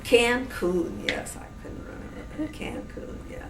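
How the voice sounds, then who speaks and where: An elderly woman from New Orleans with a general American accent, slowly reminiscing in an interview with many restarts. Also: on the high side, wildly swooping pitch, vocal fry, crowd noise. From New Orleans, USA. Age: older. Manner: slow, meandering, with many restarts. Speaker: interviewee, great-grandmother. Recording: noisy, roomy home recording from a distance.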